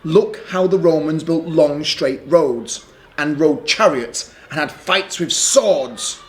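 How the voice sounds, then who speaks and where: A man voicing a sensible older brother in a storybook, calm and reasoning. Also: a little high, slow pace. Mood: patient. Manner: calm, reasoning, gently shushing. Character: patient older brother, young boy, sensible.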